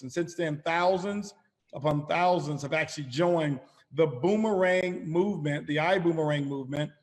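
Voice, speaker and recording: A middle-aged man with a slightly raspy voice, hosting a motivational sales call as company chairman, emphatic and repeating his phrases. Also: fairly high pitch, in a large hall. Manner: emphatic, repeats phrases, addresses listeners as ladies and gentlemen. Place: calling in by phone, not a studio.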